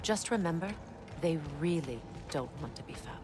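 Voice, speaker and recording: A mature goddess played by a woman with a fairly low voice, wise and composed. Her delivery is measured and earnest game drama, over clearly audible ambient noise. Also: lively intonation, lightly acted. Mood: calm, matter-of-fact. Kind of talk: video game drama acting.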